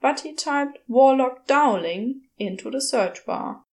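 Female story narrator, higher register, leisurely measured reading of scripted fiction. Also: expressive, darkish tone. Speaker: podfic narrator.

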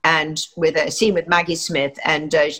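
A woman's voice: sits low, little pitch movement, normal speed, fairly bright tone. An older woman from England, an actress, giving chatty, rambling interview answers full of fillers and restarts.

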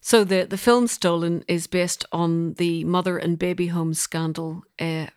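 A woman's voice: on the low side, fairly even intonation, unhurried, a little mellow, clear, resonant tone. A woman with a Belfast accent speaking thoughtfully as an interview guest, explaining her work in a reflective, conversational way. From Belfast, Northern Ireland.

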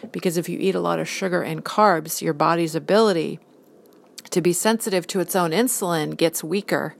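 A woman's voice: fairly low pitch, steady tone, a little fast, vocal fry. A woman in her fifties, an art model, talking earnestly in a rambling casual monologue, listing things one by one. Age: 50s.

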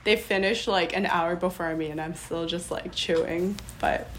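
A woman's voice: lowish voice, steady tone. A young adult woman from Los Angeles, a podcast guest chatting casually and laughing often. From Los Angeles, USA. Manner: bubbly, laughs often, quick restarts. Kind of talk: casual interview chat.

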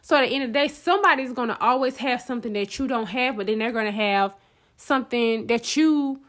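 A woman's voice: a little high, brisk, fairly bright tone. A woman co-hosting a casual talk show, speaking in an encouraging, pep-talk way. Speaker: podcast co-host. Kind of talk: casual chat.

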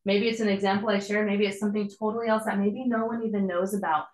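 Young woman, slightly hoarse, encouraging conversational preacher, roomy echo. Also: medium pitch, fairly even intonation, fast. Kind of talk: devotional sermon.

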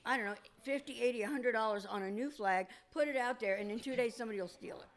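Higher-voiced woman, native Ukrainian speaker. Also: fast. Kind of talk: casual chat.

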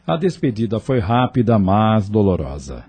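A man's voice: on the low side, very animated, moderate pace, mellow, slightly rough. A man with a Brazilian accent narrating a scripted radio drama serial.

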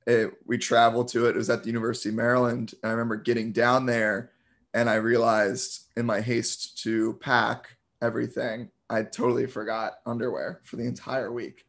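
A man's voice: mid-range voice, level tone, brisk, a bit bright. A man in his thirties hosting an interview, friendly and self-deprecating, trading jokes with his guest. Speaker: podcast host. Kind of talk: casual interview chat.

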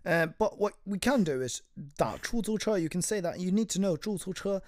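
A woman teaching Mandarin with a lively, swooping voice that rises and falls a lot. She breaks words down piece by piece, moving between English and Chinese. The sound is dry and close, with no room echo. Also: mid-range voice, a little fast. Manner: explains word by word, switching between English and Chinese. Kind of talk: bilingual language lesson chat.

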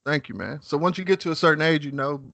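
A man in his thirties raised in West Virginia, hosting a podcast with a nervous, halting manner and lots of "man" and "you know what I'm saying". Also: a little high, creaky, fairly bright tone, dry recording. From West Virginia, USA. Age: 30s. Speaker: podcast host. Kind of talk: casual interview.

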